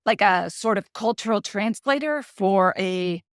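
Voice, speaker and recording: A woman's voice: medium pitch, measured pace, clear, resonant tone, no room sound, studio quality. A middle-aged woman from Colombia, a guest author talking through her work in a reflective way, often restarting as she searches for words. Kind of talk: interview conversation.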